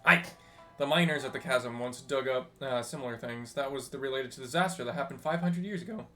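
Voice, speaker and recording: A man voicing a chatty, teasing ship's technical officer from a game, reading the dialogue aloud in an animated, slightly halting way. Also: mid-range voice, soft background music, close to an everyday voice. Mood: eager, explaining.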